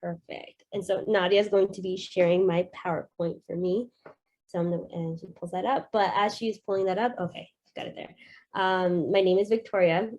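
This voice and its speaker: A woman's voice: fairly low pitch, steady tone, normal speed, darkish tone, highs cut off. A young Canadian woman in her twenties, a youth coordinator presenting over a video call in a friendly, chatty way with many ums. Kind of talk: online presentation.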